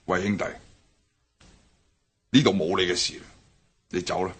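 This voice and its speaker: A raspy old gang boss. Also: male voice, low voice, steady tone, moderate pace, a bit bright. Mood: grave. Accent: Hong Kong Cantonese. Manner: commanding, barks orders. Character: stern older male triad boss. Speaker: gang leader. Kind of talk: Hong Kong radio drama.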